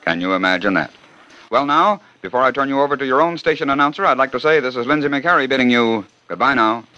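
Male 1940s radio narrator, quick crisp delivery, dull vintage sound. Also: mid-range voice, animated, background noise. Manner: brisk announcer delivery. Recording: dull old radio recording.

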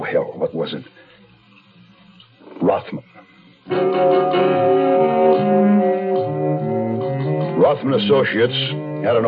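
Low-voiced, brooding noir fugitive played by an actor. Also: male voice, close to an everyday voice. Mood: wistful. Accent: American. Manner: brooding, deliberate, dramatic storytelling. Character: hunted, weary man on the run, narrating his own story. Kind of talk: 1970s radio mystery drama, first-person noir narration. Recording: lo-fi phone-like 1970s radio, faint music.

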